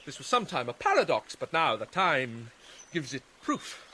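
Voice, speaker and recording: A man's voice: mid-range voice, very animated, pushed and bright, slight background noise. Man acting brooding, tormented Shakespearean prince, theatrical. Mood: accusing. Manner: theatrical, deliberate with long pauses. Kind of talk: Shakespearean radio drama acting.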